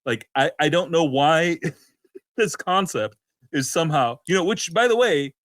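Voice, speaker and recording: A man's voice: fairly high pitch, expressive, measured pace, fairly bright tone, no room sound, studio quality. A man co-hosting a casual, opinionated talk show, often breaking off and rephrasing as he goes. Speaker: podcast co-host.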